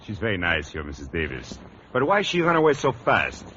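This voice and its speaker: Genteel French schoolteacher, courtly broken English, animated delivery, 1940s radio comedy on a dull, hissy recording. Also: male voice, low-pitched, brisk, exaggerated voice. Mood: earnest, eager. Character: genteel married French male schoolteacher, earnest and gallant. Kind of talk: 1940s radio sitcom acting.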